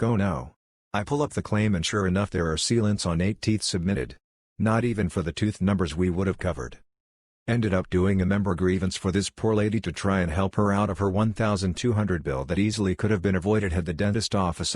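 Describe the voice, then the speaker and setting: A man reading online forum posts aloud in a plain, even manner. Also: deep voice, husky, dry recording, studio quality.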